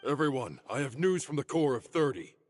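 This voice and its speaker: An actor playing an elderly, courteous and grave scholar in a video game, speaking formally and earnestly. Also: male voice, medium pitch, big pitch swings, a little fast, faint music behind, close to an everyday voice.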